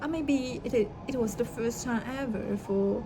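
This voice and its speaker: A woman's voice: on the high side, a little slow. A young woman, a native Chinese speaker, talking through a personal reflective monologue with many fillers and restarts. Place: outdoors by the sea. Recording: noisy outdoor ambience.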